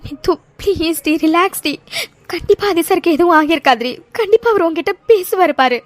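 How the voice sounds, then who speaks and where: A woman's voice: very high-pitched, brisk, dry recording. A woman playing a loyal young friend, earnestly coaxing and soothing, in a melodramatic audio serial. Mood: consoling.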